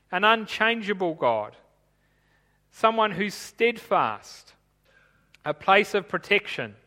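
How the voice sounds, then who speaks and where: A man who grew up in Tanzania, preaching a sermon in a measured, explanatory way while reading from his notes. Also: sits high, sharp tone, long reverb tail.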